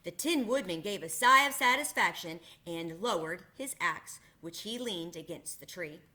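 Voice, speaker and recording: A woman narrates a children's book aloud in an expressive storytelling manner. Her voice is bright and clear. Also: on the low side, moderate pace. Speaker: reader.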